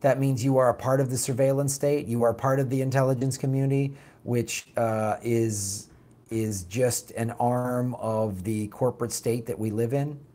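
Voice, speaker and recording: A raspy man hosting a political talk show, reading viewer comments and answering them bluntly in a casual, animated way. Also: medium pitch, moderate pace. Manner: blunt, animated, mocks opponents with put-on quotes.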